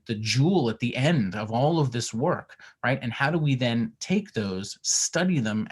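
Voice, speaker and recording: A man's voice: mid-range voice, husky. Earnest man answering on a video call. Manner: earnest, filler-heavy, restarts. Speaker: organization head presenting.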